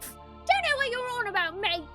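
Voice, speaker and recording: A woman's voice: very high-pitched, sing-song, normal speed, over music, pitched above the performer's own voice. A woman voicing a brash, hot-tempered young female thief in a video game, defiant and slangy. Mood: indignant. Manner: defiant, shouting, slangy insults.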